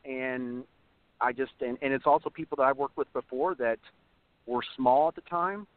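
A slightly hoarse young man talks casually about his acting work and rambles with plenty of fillers. His voice comes through a narrow, tinny phone line with a dry sound. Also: mid-range voice, normal speed, no room sound. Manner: rambling, many fillers and restarts. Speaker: actor and voice actor guest. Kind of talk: casual interview over the phone.

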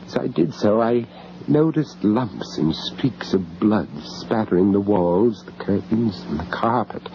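An actor playing an anxious English gentleman in a radio horror drama, halting and stammering in distress, on an old radio recording. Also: fairly low pitch, animated, gravelly, highs cut off, noisy background. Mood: grim, shaken. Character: shocked, anxious English gentleman, family friend of victims. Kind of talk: radio horror drama acting and first-person narration.

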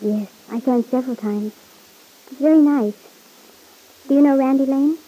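A worried, gentle young woman in a vintage radio soap. Her voice is fairly high, soft and mellow, with a breathy husk. She is hesitant and earnest, as if guarding something. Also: moderate pace, poor audio. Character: Lisa, anxious, secretive, gentle young woman. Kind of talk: old-time radio serial acting.

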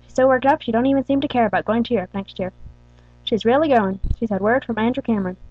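A middle-aged country wife gossips breathlessly in folksy talk, as a woman acts her out. Her high, quick voice runs on without pause. The muffled, lo-fi recording is dry and close. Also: breathy edge, dry recording. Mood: gossipy. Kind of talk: audiobook character reading.

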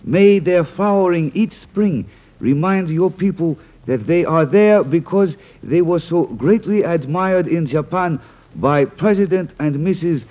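A man playing a dignified Japanese gentleman diplomat in an old radio drama, speaking in a formal, courteous, ceremonious way on a muffled vintage broadcast. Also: a little high, measured pace, close to an everyday voice. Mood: ceremonious, gracious. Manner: formal, ceremonious speech-making. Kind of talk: 1940s-50s radio drama acting.

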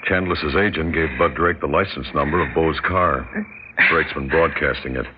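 A man's voice: rumbling low, normal speed, a little hiss or hum. A man with an American accent acting a stern, grim police officer in an old radio drama. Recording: muffled old radio broadcast.

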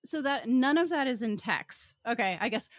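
A woman's voice: a little high, animated, moderate pace, no room sound. A woman co-hosting a podcast, giving casual book commentary with dry irony and rambling tangents. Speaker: podcast co-host.

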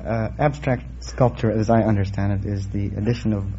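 A man's voice: lowish voice, fairly even intonation, brisk, dark tone. A man speaking as a radio quiz contestant, hesitant and dry, with bookish phrasing. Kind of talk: interview banter on an old radio show. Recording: dull, slightly noisy old radio recording.

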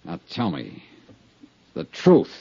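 A man's voice: deep voice, huge pitch swings, takes it slowly, gravelly, background noise, exaggerated voice. A man playing a blunt, dry, hard-nosed insurance investigator in a 1950s radio detective drama, speaking in a clipped, tough way. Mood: tough, pressing. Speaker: lead actor and narrator. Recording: dull vintage radio recording, music behind.

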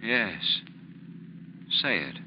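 Weary estranged male spouse, deep but lively inflection, gentle radio melodrama, old recording with clear noise. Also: extremely slow, dull audio, exaggerated voice. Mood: intent. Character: brooding, wounded estranged husband, gentle and weary.

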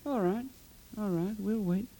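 A man's voice: high voice, a little slow, dark tone. An actor playing a menacing, mocking bully in a 1950s radio drama, drawing out his taunts. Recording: noisy old radio recording with clicks.

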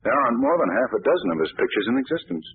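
An actor playing a calm, wealthy young man-about-town in a 1940s radio drama. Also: medium pitch, very fast, vocal fry, slightly rough, exaggerated voice. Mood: explaining. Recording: tinny old radio broadcast.

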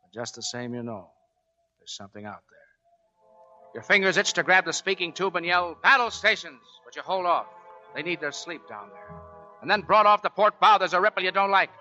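A tough American Navy chief in a 1940s radio play. The voice is fairly high and strikingly bright, with gruff, commanding slang. The old recording is dull and narrow. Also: male voice, lively intonation, brisk, faint music behind, heavily acted. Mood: tense, building. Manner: gruff slangy straight talk. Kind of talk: 1940s radio drama acting.

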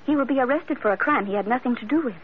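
An actress playing an earnest, composed young Chinese bride in an old radio drama, speaking formally and carefully. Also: female voice, on the high side, very fast, a little hiss or hum, lightly acted. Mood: pleading, earnest. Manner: formal, careful phrasing without contractions. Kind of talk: 1940s-50s radio drama acting. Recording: tinny, narrow-band old radio recording.

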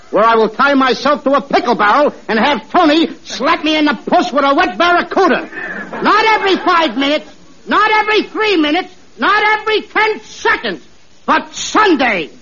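A man's voice: animated, moderate pace, pushed and bright, full character voice. An actor playing a brash comic man bragging in a 1940s radio comedy. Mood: boastful. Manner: brash, comic, high-pitched. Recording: dull lo-fi 1940s radio recording.

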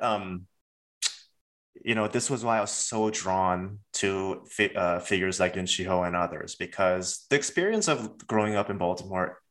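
A man's voice: sits low, a bit bright. A man from South Korea, talking as an author in an academic interview, thoughtful with many fillers and restarts. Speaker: author, guest.

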